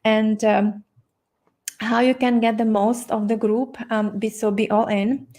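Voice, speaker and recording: A woman's voice: on the high side, fairly even intonation, unhurried, a little mellow. A middle-aged woman with a Czech accent, leading a live online health class in a friendly way, with many fillers and restarts. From Czech Republic. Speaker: doctor and health coach hosting an online masterclass. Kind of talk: live-streamed teaching session.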